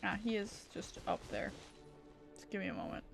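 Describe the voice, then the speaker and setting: A woman's voice: medium pitch, sing-song, normal speed, creaky. A woman streaming a video game with casual, playful, rambling commentary and muttered asides. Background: game music.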